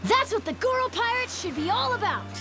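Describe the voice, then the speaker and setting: Excitable young pirate kid in a game, really high bouncy voice, earnest, music underneath. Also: female voice, a little fast, exaggerated voice. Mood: eager. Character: eager, bubbly young would-be pirate, excitable and idealistic. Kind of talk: video game cutscene acting.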